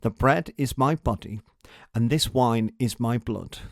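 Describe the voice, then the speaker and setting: A kindly, solemn religious teacher voiced by a male narrator speaks in a measured, grave way. The pitch is middling and the storybook recording is dry. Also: vocal fry, dry recording.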